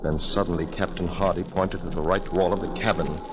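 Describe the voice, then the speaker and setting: An actor playing a grave narrator who recounts an eerie tale suspensefully in 1940s radio drama style. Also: male voice, sits low, steady tone, fairly quick, close to an everyday voice. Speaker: story narrator. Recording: muffled, hissy 1940s radio recording.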